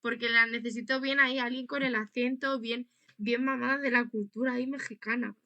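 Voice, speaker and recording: A woman's voice: on the high side, fairly even intonation, a little fast, sharp tone, lo-fi sound. A young woman with a northern Spanish accent, informally interviewing in a chatty, colloquial way as a student. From Spain. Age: young adult.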